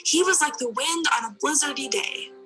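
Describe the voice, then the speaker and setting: A woman voicing an eager, imaginative little girl in a children's storybook, excited and full of wonder. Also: sits high, moderate pace, music in the background, voice pushed up, lightly acted, low-quality recording.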